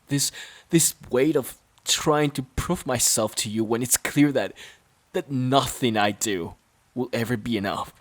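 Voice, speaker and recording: A man playing a worn-out, resentful boyfriend in an audio drama, pleading in a halting, strained way. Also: medium pitch, lightly acted. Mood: anguished, heated. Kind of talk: dramatic audio roleplay monologue.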